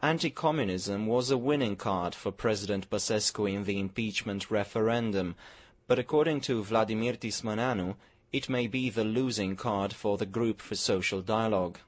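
A man's voice: lowish voice, moderate pace. A man radio reporter reading a scripted news report formally. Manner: formal read delivery.

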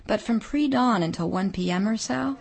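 A woman's voice: a little high, vocal fry, crowd noise. Woman narrating a radio feature. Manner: measured, descriptive storytelling delivery. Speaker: radio reporter.

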